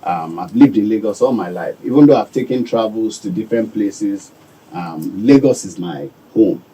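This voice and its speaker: A Nigerian man giving calm, measured answers as a guest in a radio studio. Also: on the low side, breathy edge, distant mic, low-quality recording. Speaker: business owner guest.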